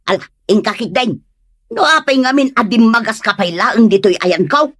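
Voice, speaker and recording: A woman playing a poor, lonely, plaintive wife mocked for her looks in an Ilocano comedy drama, exclaiming in drawn-out phrases. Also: on the high side, lively intonation, a bit bright, dry recording, clean studio sound. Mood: defensive, puzzled.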